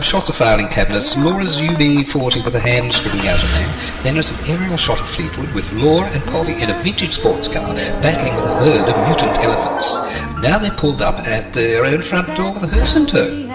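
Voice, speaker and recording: An actor as an offhand, bored male audio guide in a comedy drama. He narrates briskly with a swinging mid-pitched voice, echoey, over loud music. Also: very animated. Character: Brendan, bored, matter-of-fact male audio-description guide. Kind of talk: mock audio-description narration.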